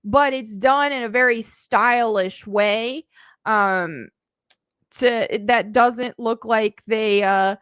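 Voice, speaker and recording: A woman with a somewhat high voice shares her opinion off the cuff. She pauses, repeats words and carefully qualifies what she means. The recording is close and free of echo. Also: fairly bright tone, clean tone. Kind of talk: unscripted opinion commentary.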